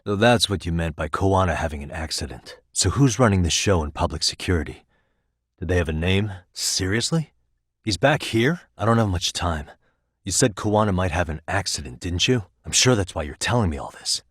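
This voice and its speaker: Low, clean-cut streetwise male detective. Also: fairly bright tone, slightly rough, dry recording, studio quality. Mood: incredulous. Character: determined, streetwise male detective, dogged and wry. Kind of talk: scripted video game dialogue.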